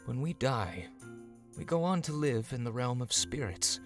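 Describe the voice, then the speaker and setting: An actor playing a grave, musing male animal official in a visual novel, speaking in a measured, apologetic way. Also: medium pitch, animated. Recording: music behind.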